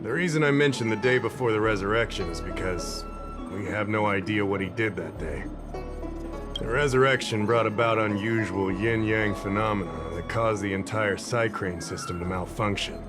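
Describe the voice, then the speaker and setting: An actor playing a casual, chatty middle-aged security officer who rambles a little in a game cutscene. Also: male voice, on the low side, moderate pace, natural voice. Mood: explaining. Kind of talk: game voice acting. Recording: game cutscene with music behind.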